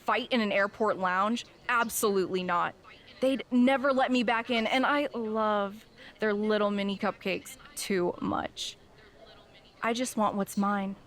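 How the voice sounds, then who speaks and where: An actress playing a smug, scheming wrestler in a video game, with an American accent and sarcastic mock sincerity. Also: female voice, mid-range voice, fairly even intonation, unhurried, fairly bright tone, close to an everyday voice. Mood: smug, demanding. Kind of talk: video game cutscene acting, wrestling promo.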